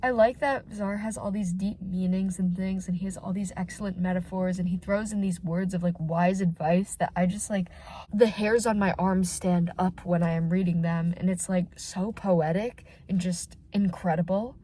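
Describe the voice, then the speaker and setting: A woman's voice: lowish voice, moderate pace. Stuffy-nosed woman gushing in her car. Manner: gushing and excitable, many filler "like"s. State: stuffy and nasal from a cold. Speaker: channel host reacting to fan fiction. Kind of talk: casual reaction vlog. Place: inside a parked car.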